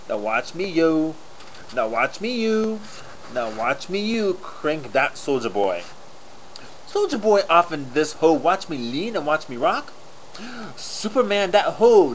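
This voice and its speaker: A man's voice: on the high side, a bit bright, voice pushed up. A man playing a bold, mock-dramatic reciter, declaiming rap lyrics with exaggerated theatrical emphasis. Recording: noisy lo-fi webcam audio.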